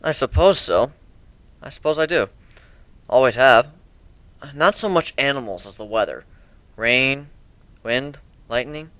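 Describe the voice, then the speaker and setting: A man's voice: mid-range voice, animated, unhurried, pauses often, a bit bright, no echo. A man voicing a wistful, kind male griffin in a dramatic reading, talking in a hesitant, reflective, conversational way. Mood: wistful, calm. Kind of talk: dramatic reading of a fan story.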